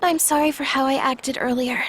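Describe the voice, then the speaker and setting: Game role: sincere, kindhearted young woman with a high-pitched voice. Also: fairly even intonation, moderate pace, fairly bright tone, a little hiss or hum. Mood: sincere, gentle. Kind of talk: scripted video game voice acting.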